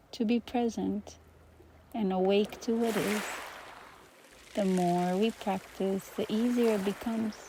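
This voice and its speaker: Slow, soothing woman guiding meditation. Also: mid-range voice, gravelly, slight background noise. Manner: slow, soothing, gentle pauses between phrases. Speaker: meditation teacher. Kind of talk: guided meditation.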